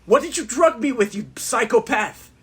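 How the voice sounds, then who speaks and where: Anguished, high-voiced young man. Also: lively intonation, normal speed, fairly bright tone. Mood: angry. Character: anguished, defiant young man desperate to be cured. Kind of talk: horror audio drama acting. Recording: echoey, with music behind.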